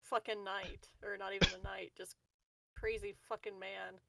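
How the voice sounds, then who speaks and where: A woman's voice: medium pitch, little pitch movement, normal speed, gravelly, heavy background noise. A woman chatting casually as a player in a tabletop roleplaying game. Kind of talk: casual chat.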